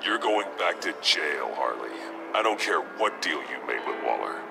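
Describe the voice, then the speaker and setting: A man playing a grim, stern masked vigilante in a video game, terse and commanding with a gravelly voice. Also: a bass voice, very animated, normal speed, noisy background, exaggerated voice. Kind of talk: video game character acting.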